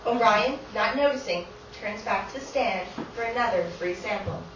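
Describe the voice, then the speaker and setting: A woman's voice: on the high side, normal speed, a bit bright, close to an everyday voice. Woman as a wry narrator in an echoey theatre. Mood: wry, narrating. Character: stage-direction narrator, matter-of-fact and wry. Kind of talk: reading stage directions aloud. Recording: echoey theatre from far off, dull, noisy.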